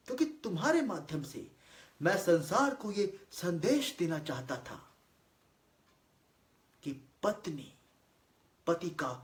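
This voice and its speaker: A man performing a theatrical monologue as a solemn god, declaiming deliberately with weighty pauses in formal speech. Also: a little high. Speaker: monologue actor. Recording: roomy, echoing voice recording.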